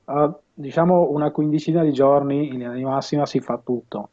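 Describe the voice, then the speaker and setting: A man in his fifties speaks in a relaxed, mellow voice as an interview guest. He explains things conversationally, stopping to find his words. The sound is dull and lo-fi, captured through an earphone headset on a video call. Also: medium pitch, fairly quick. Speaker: audio system installer and dealer being interviewed. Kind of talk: casual interview talk. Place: home room with bookshelves, indoors.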